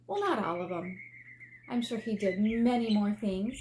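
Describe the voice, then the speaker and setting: A woman's voice: medium pitch, normal speed, darkish tone, slight background noise. An American woman, an elementary school teacher, reading aloud to children and adding simple explanations as she goes.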